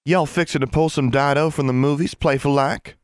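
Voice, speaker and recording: A man's voice: a little high, fairly quick, clean tone, no echo, clean studio sound, pitched above the performer's own voice, lightly acted. A man doing a drawling Ozark country girl. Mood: scandalized. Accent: Ozark drawl put on. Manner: excitable, old-fashioned, drawling. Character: prim young Ozark country girl, scandalized.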